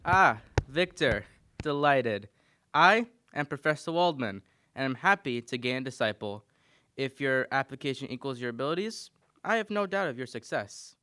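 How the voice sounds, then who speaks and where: A man's voice: medium pitch, lively intonation, moderate pace, pushed and bright, smooth. A man playing a kindly, frank science professor in a radio play, genial and formal. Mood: welcoming.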